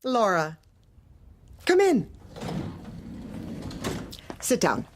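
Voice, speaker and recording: An actress playing a manipulative office manager in a dark comedy audio drama, speaking with measured, formal, condescending politeness. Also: female voice, mid-range voice, huge pitch swings, exaggerated voice. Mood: brisk, controlling.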